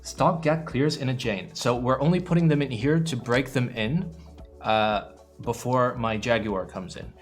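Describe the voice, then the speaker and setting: A man's voice: mid-range voice, measured pace, faint music behind. A man in young adulthood, casually chatting with his live-stream viewers, rambling and swearing freely with playful groans. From United States. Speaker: keyboard streamer.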